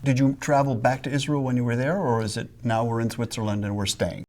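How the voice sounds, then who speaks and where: A man's voice: medium pitch, flat delivery, fast talker, darkish tone. A man conducting an interview, asking short, curious follow-up questions.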